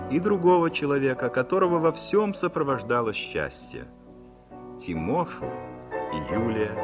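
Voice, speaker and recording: A man reading an author's note and stage directions for a radio play in a measured, formal manner. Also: on the low side. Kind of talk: scripted narration. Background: music.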